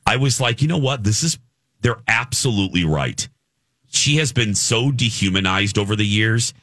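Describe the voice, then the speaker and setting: Low-voiced guy co-hosting morning radio, dry studio sound, gets really animated. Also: moderate pace, no room sound. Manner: animated, swoops high when emphatic. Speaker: radio show co-host. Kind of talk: casual morning radio chat.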